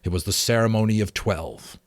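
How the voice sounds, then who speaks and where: A man voicing a gentle, shy father who earnestly recalls his childhood in an audiobook. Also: fairly low pitch, brisk, dry recording, lightly acted. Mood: fond reminiscing. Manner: earnest, reminiscing.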